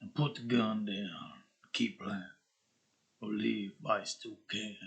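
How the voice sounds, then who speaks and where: Man putting on a rough, menacing cowboy gambler. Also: on the low side, steady tone. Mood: low menace. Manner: slow, deliberate, threatening. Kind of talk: Western character script reading.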